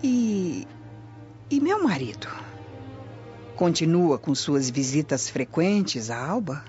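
An actress playing a jealous, scheming Roman wife in a radio drama, conspiratorial and probing. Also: female voice, sits low, very animated, slow, warm tone, heavily acted. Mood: suspicious, intriguing. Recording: dull lo-fi radio, faint music.

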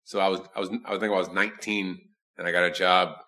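A man with a deep voice chatting casually, telling self-deprecating stories with frequent stammering restarts. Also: flat delivery, fast, pushed and bright. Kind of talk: casual conversation.

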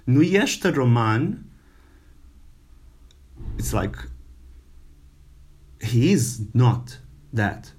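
A man who is not a native English speaker giving an informal language lesson, halting and full of fillers, repeating words for the learner. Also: medium pitch, sing-song, darkish tone, slightly rough. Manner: slow, halting, many fillers and repetitions. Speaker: language teacher.

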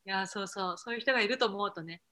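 A woman's voice: mid-range voice, rapid-fire, a crowd in the background. A woman co-hosting a podcast, talking in a chatty, colloquial and conversational way. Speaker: podcast co-host, blogger. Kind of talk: casual chat.

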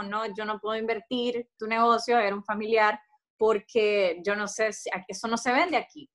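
A woman's voice: on the high side, fairly bright tone. A Honduran woman who owns a tea business, answering interview questions in an earnest, step-by-step way and giving advice. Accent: Honduran Spanish.

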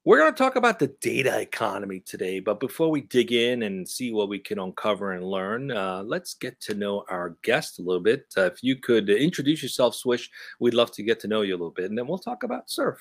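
A man's voice: lowish voice, sing-song, fairly quick, gravelly, few pauses. A man hosting a casual talk show, upbeat and joking with his guests. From Southern California. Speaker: show host. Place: home studio, Southern California.

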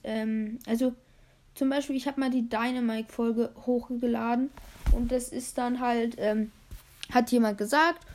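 A young woman hosting a casual gaming podcast, hoarse, rambling with many fillers while she plays a game. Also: a little high. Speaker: podcast host.

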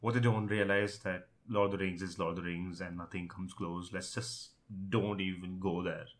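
Low-voiced, blunt man in casual chat. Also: fairly even intonation, normal speed. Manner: blunt, opinionated, sweary. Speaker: podcast co-host. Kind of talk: casual chat about films and games.

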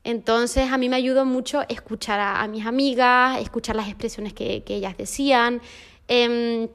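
Una mujer joven con acento de España, invitada en un pódcast, charlando y contando anécdotas entre risas. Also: female voice, fairly high pitch, fairly even intonation, normal speed, fairly bright tone, smooth. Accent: Spain Spanish. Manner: chatty, laughing storyteller. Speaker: podcast guest. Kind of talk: casual conversation.

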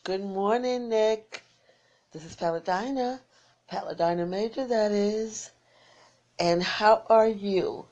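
A tired woman who is losing her voice, rambling through friendly shout-outs to listeners on her small internet radio station. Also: mid-range voice, slow, lo-fi sound. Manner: rambling and warm, repeats her sign-off.

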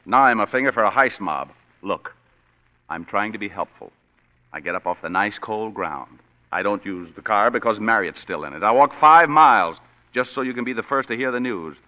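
An actor playing a dry, wisecracking private detective who also narrates, in a 1940s radio drama. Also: male voice, fairly low pitch, expressive, normal speed, sharp tone. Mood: wry. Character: wisecracking hard-boiled male private detective. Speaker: narrator and lead. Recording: dull old radio broadcast with faint music.

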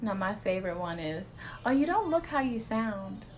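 A young woman with a high-pitched voice co-hosting a podcast, making sassy quips in casual chat. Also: sing-song, normal speed, slight background noise. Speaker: podcast co-host.

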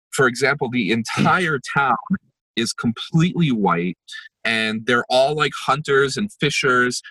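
A man's voice: medium pitch, bright, forceful tone, husky, no echo, poor audio. A young man, a workshop facilitator, talking reflectively in an interview with many fillers and restarts. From born in Israel, raised in New Jersey and New York. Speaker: empathy workshop facilitator, podcast guest. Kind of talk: conversational interview.

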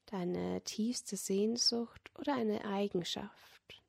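A woman leading a guided yoga nidra relaxation as a meditation guide, speaking in a gentle, soothing manner that often drops close to a whisper. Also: medium pitch, gravelly, husky. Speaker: meditation guide and podcast host.

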